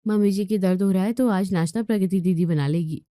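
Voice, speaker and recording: A woman's voice: mid-range voice, fairly quick, dark tone, no room sound, clean studio sound, natural voice. A woman voicing a lazy young daughter-in-law who protests and makes whiny excuses in a storybook tale. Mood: sulky.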